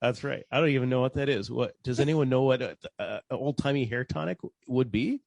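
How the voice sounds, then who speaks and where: A man's voice: mid-range voice, a little fast, creaky, darkish tone, no echo. A man leading a casual, light-hearted chat among performers.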